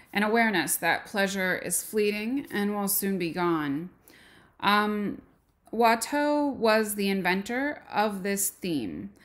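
Slow, halting woman lecturing. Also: mid-range voice, fairly bright tone. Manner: slow, with frequent ums and pauses. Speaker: art history lecturer. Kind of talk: recorded slideshow lecture.

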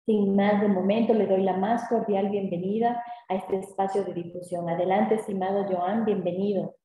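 A woman's voice: medium pitch, steady tone, normal speed, dark tone. Woman moderating, very echoey room. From Ecuador. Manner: formal, polished delivery. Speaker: webinar moderator. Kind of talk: formal scripted introduction. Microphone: video call webcam.

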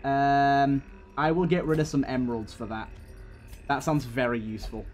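A man's voice: medium pitch, steady tone, normal speed, faint music behind. A man giving casual gameplay commentary, thinking aloud and talking to the game's characters. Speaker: gaming video host.

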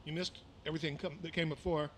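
Rambling older musician between songs. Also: male voice, fairly high pitch, fast talker. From Mississippi, raised in Louisiana. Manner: rambling, relaxed, full of fillers and restarts. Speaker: musician performing a livestream. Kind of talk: casual between-song chat. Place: small music venue, indoors. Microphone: vocal mic on a stand.

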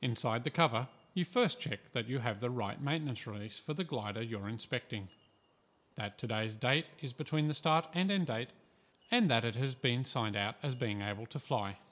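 A man from Australia reading a scripted training voice-over in a calm, measured, instructive way. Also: mid-range voice. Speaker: instructional narrator.

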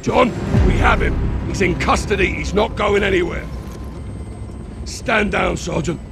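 An actor playing a terse, gruff middle-aged special forces captain with a gravelly British accent in a video game. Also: male voice, high voice, unhurried. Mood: urgent. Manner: terse, commanding. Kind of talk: cinematic video game acting. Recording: loud music behind dialogue.

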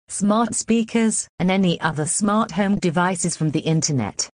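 A woman's synthetic voice reading scripted emergency announcements in a measured, impersonal way. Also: fairly low pitch, darkish tone, no echo.

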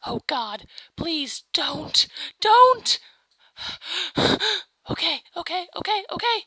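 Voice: very high-pitched, sing-song, slow pace, sharp tone, dry recording, full character voice. An amateur performer voicing a dim, breezy young pirate girl, casual and slangy, in a video game parody. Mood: reluctant, annoyed.

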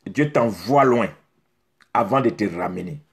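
A man's voice: fairly low pitch, animated, unhurried, gravelly, husky. A middle-aged man, preaching a sermon straight to the camera, exhorting with rhetorical questions and emphatic repetition. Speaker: pastor. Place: indoors in front of a beach backdrop.

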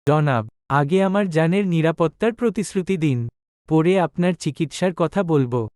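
A man voicing a shrewd, courteous village physician in an animated moral tale, speaking formally and with measured deference. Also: on the high side, no room sound, studio quality, lightly acted. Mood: grave, deferential.

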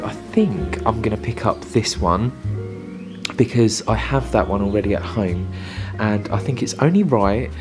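A man's voice: fairly low pitch, lively intonation, measured pace. A young man with an English accent talking enthusiastically and casually to a camera held at arm's length while out and about. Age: young adult. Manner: enthusiastic, chatty. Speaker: travel vlogger. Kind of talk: casual vlog chat to camera. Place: outdoors in castle grounds and parkland, some inside a shop. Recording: music playing behind the voice.

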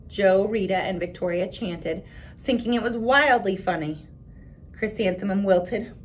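Woman reading a picture book, noisy room. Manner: animated storytelling, pausing to ask children questions. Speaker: teacher reading aloud to children. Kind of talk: expressive picture-book read-aloud with casual asides. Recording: clear background hiss and low rumble.

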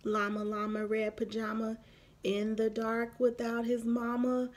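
A woman in her thirties reading a picture book aloud expressively for young children, pausing for explanatory asides. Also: a little high, completely flat delivery. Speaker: children's story reader. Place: small room at home, indoors.

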